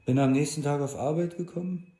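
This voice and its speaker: Gravelly, laconic young offender played by a man. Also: a little high, a little fast, warm tone, roomy sound, lightly acted. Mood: flat, laconic. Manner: clipped fragmentary sentences. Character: rough young male offender, laconic, detached. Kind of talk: documentary radio play monologue.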